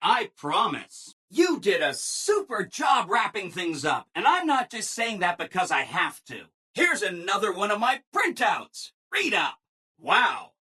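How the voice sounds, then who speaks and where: Game robot assistant: bright, very animated, ingratiating, relentlessly upbeat people-pleaser. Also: male voice, high voice, a little slow, breathy edge, heavily acted. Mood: pleading, apologetic, then upbeat, eager. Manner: chipper, ingratiating, emphatic, apologetic people-pleaser. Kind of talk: scripted video game dialogue.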